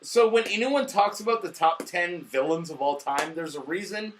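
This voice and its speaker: A man with a high, forceful, bright voice talks through a casual movie review while drunk. He swears freely and rambles, often losing the thread of the conversation. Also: normal speed, slight background noise. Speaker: movie review show host. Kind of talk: casual, profane review banter.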